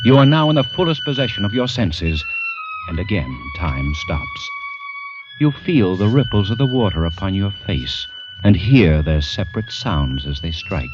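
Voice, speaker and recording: A grave, intense narrator from an old radio thriller. The voice is low and highly animated in its deliberate phrasing. Music plays prominently behind it. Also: male voice. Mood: grave, suspenseful. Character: grave second-person narrator of a condemned man's ordeal. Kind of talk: 1940s-50s radio drama narration. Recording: vintage radio, dull, loud music behind.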